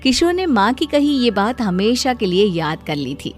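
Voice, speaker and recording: A woman's voice: a little high, big pitch swings, normal speed, faint music behind. A woman narrating a scripted cartoon story as a storyteller.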